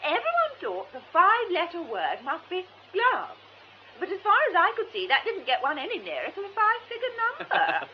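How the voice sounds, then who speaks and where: Radio drama: sharp-witted actress, extremely high, wildly swooping voice, slightly muffled. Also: female voice, wildly swooping pitch, moderate pace, heavily acted. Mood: arch. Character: arch, outspoken theatre actress, impatient and sharp-witted. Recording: muffled radio broadcast, faint noise.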